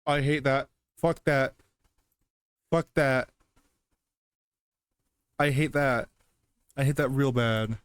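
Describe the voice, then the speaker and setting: A man reads aloud and reacts in an offhand, casual way. His pace is slow and his pitch sits in the middle. He stumbles over words and often doubles back to repeat them. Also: clean tone, dry recording, studio quality. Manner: halting, stumbling, repeats himself. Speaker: reaction video host.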